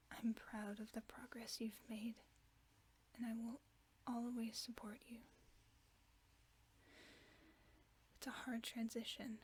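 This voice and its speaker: A woman voicing a gentle, caring werewolf friend, reading a bedtime story in a soft, often whispered voice for a sleep-aid roleplay. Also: fairly high pitch, slightly rough. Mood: tender. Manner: slow soothing bedtime reading.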